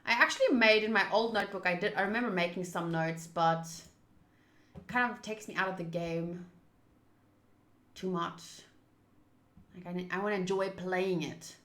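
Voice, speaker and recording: A woman's voice: fairly low pitch, moderate pace, long pauses, a bit bright, roomy sound. A woman streaming a visual novel playthrough, talking informally and thinking aloud or reading the game's text. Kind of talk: casual livestream chat and reading game text aloud.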